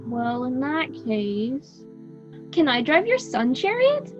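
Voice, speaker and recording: A woman's voice: high voice, sing-song, slow pace, exaggerated voice. A woman playing an angsty, whining teenage son of a god in an amateur student radio drama. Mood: sulky angst. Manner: sulky, whining. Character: angsty teenage demigod son begging for a chariot. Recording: dull lo-fi recording with loud music. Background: music.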